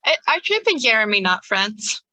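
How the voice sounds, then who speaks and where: A woman running a tabletop game as game master, improvising narration in halting, informal phrases. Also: a little high, sing-song, sharp tone, dry recording, rough recording.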